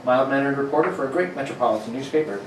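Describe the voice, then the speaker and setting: A man's voice: medium pitch, very fast, close to an everyday voice. Emphatic superhero radio narrator man performing, dramatic 1940s delivery, distant mic in a roomy space. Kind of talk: 1940s radio acting, amateur. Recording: echoey room, distant mic, noisy.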